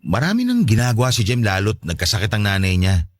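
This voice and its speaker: Quick, swinging gentle effeminate man , acted out. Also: lowish voice, wildly swooping pitch, no echo, studio quality. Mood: guarded, firm. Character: gentle effeminate stepfather, meek but quietly defiant. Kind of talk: Tagalog audio drama dialogue.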